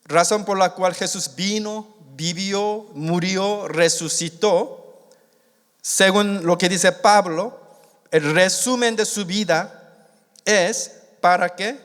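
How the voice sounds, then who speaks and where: A man born in Korea and raised in the United States, preaching a sermon in a halting way, with many restarts, and stretching out the key words. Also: sits high. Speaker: missionary preacher. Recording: long hall reverb.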